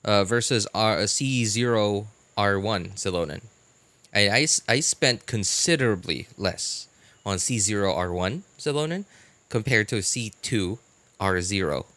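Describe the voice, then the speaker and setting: A man casually chatting as a live-stream host, answering viewers in a halting way and repeating his phrases. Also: on the low side, dry recording. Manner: halting, repeats phrases, animated incredulous reactions.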